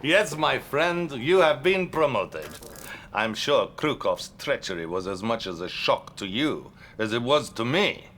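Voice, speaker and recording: A man playing a grandiose, smoothly flattering Soviet premier with a Russian accent in a video game cutscene. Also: medium pitch, a little hiss or hum. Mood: flattering, solemn. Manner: slow, pompous oratory. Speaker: video game character actor. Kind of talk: dramatic game cutscene acting.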